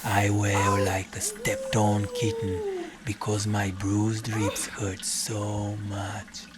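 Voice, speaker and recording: An actor playing a battered, deadpan, hard-drinking folk-hero detective who narrates his own audio drama. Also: on the low side, slow. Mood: deadpan musing. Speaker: lead character and narrator. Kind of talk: first-person audio drama narration and dialogue. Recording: music under the voice.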